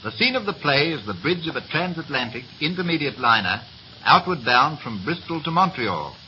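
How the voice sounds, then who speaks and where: A man narrating as a 1930s radio announcer, with formal, deliberate diction. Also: a little high, big pitch swings, sharp tone. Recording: muffled, hissy 1930s radio recording.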